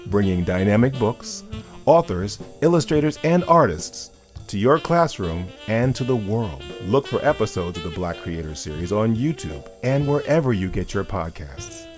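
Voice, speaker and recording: A male announcer delivers a polished, scripted introduction. His pitch rises and falls a lot as he stresses each item in a list. Gentle guitar music plays faintly underneath.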